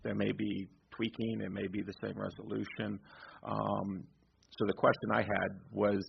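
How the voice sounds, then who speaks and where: A man chairing a committee meeting, relaxed and hesitant with frequent ums, recorded in a meeting room. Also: fairly low pitch, moderate pace, vocal fry, a little hiss or hum. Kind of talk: running a meeting. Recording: dull lo-fi recording.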